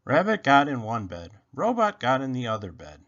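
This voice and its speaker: A man's voice: medium pitch, wildly swooping pitch, moderate pace, a bit bright. A man reading a children's story aloud as narrator, expressively, on a slightly dull lo-fi recording.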